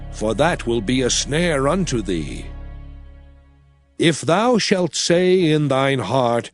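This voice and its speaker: A man playing a solemn, commanding Hebrew prophet, preaching God's law in a grave, declamatory dramatized scripture reading. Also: a little high. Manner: grave, declamatory, deliberate phrasing.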